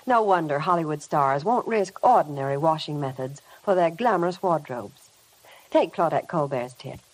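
Lively lower-pitched woman selling on radio. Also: moderate pace, poor audio. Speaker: commercial spokeswoman. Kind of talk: scripted 1950s radio advertisement. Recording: old 1950 radio broadcast.

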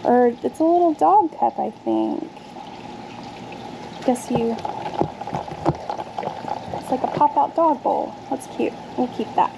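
A woman's voice: on the high side, slow pace, vocal fry, long pauses, highs cut off, background noise. A woman in her thirties chatting casually to camera outdoors, thinking aloud as she sorts her dumpster finds. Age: 30s. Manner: chatty, thinks aloud while sorting items. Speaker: vlogger sorting dumpster finds. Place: outdoors on a backyard patio.

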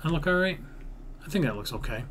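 A man's voice: fairly high pitch, lively intonation, quick pace, a bit bright, slight background noise. A man giving casual let's-build gaming commentary, rambling and thinking aloud as he talks. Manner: rambling, half-muttering counts, mild swearing. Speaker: gaming video creator narrating his own build series. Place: indoors at a computer.

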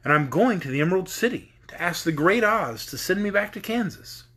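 A man's voice: high-pitched, animated, fairly quick, fairly bright tone, breathy edge, pitched above the performer's own voice, exaggerated voice. A man voicing a polite, curious young girl in a storybook reading, close to his own voice.

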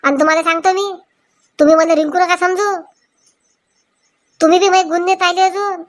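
Woman voicing a high-pitched defiant young daughter-in-law. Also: steady tone, brisk. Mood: angry, accusing. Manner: complaining, combative. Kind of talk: comic cartoon dialogue.

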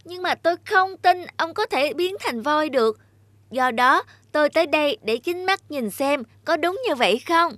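A woman's voice: high voice, expressive, normal speed, a bit bright, no echo. A woman voicing a clever, cunning male cat in a cartoon fairy tale, eloquent and courtly in manner. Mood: sly, flattering.

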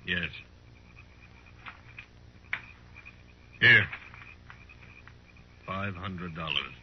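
An actor playing a proud, menacing chief in a 1950s radio western, speaking in clipped, solemn sentences. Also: male voice, low-pitched, extremely slow. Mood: stern. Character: stern, proud Cheyenne chief, terse and menacing. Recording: muffled, faintly noisy 1950s radio recording.

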